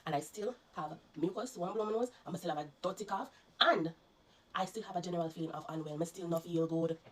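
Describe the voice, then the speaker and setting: Fast-talking Jamaican woman, stuffy and low. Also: poor audio. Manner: rapid, earnest, mixing patois into the telling. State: congested from a lingering flu. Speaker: vlogger. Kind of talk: casual personal storytelling to viewers.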